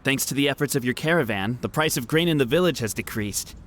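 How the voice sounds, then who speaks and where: Grateful male game soldier, actor-performed, very animated inflection, respectful, eager to report. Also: mid-range voice, fast, slight background noise, close to an everyday voice. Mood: dutiful. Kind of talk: anime video game voice acting.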